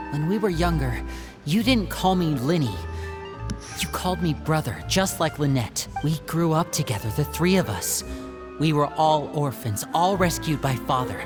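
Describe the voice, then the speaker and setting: A man voicing a smooth, probing young magician in a video game. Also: on the high side, natural voice. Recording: game audio with music.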